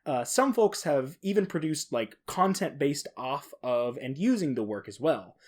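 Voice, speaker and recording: Animated, higher-voiced man chatting casually. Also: measured pace. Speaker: podcast host. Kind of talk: casual solo podcast talk.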